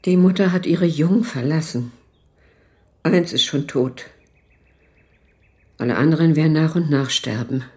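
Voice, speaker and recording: A woman's voice: sits low, a little slow, pauses often, darkish tone. An actress playing a stubborn older mother in a naturalistic radio drama, brusque, scolding and without any embarrassment. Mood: grim, brusque. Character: blunt, stubborn, sharp-tongued old mother.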